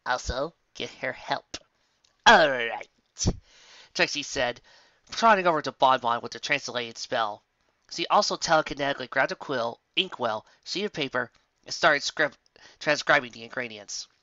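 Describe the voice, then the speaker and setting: A man reading a fantasy story aloud as an expressive narrator. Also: mid-range voice, moderate pace, no echo, dull audio. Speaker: fan fiction reader.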